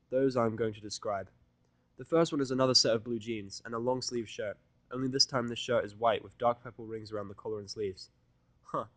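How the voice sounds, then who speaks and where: A man's voice: fairly low pitch, dry recording. A man reading a scripted first-person fan fiction narration in a blunt, sardonic manner.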